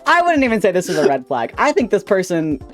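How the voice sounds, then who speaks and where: A man in his twenties hosting a stream, chatting casually, animated, teasing and quick to laugh. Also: sits high, soft background music. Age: 20s. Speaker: streamer host.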